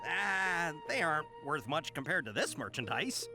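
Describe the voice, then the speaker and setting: Excitable merchant with swooping pitch. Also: male voice, moderate pace, music in the background. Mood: generous, insistent. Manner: effusive, eager. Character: grateful, excitable, generous merchant in a fantasy game. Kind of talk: video game voice acting.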